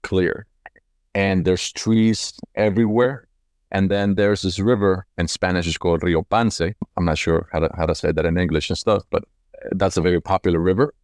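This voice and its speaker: A man's voice: deep voice, fairly even intonation, normal speed, darkish tone, no echo, studio quality. A man in his forties from Colombia, telling his life story casually in an interview, reflective and conversational, repeating words as he goes. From Cali, Colombia. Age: 40s. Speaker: company CEO being interviewed.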